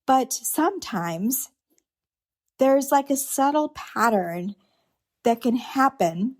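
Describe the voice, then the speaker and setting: Woman, middle-aged, podcast host, unhurried pace, earnest reflective monologue, rhetorical questions. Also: high-pitched, animated, darkish tone. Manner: earnest, unscripted, many restarts and rhetorical questions.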